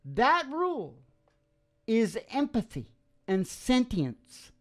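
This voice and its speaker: A man's voice: very high-pitched, wildly swooping pitch, takes it slowly, fairly bright tone. A middle-aged man hosting a music show, giving an informal philosophical sermon in short, emphatic, folksy phrases into a close microphone. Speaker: musician and radio show host. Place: small wood-panelled room. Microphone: close stand microphone.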